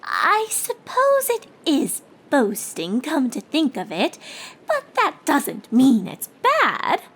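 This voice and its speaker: A woman's voice: high-pitched, huge pitch swings, takes it slowly, fairly bright tone, pitched above the performer's own voice, exaggerated voice. A woman voicing a refined, dramatic female cartoon unicorn pony in a genteel, teasing, theatrical manner. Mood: admiring, gushing. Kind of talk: character voice in fan fiction reading.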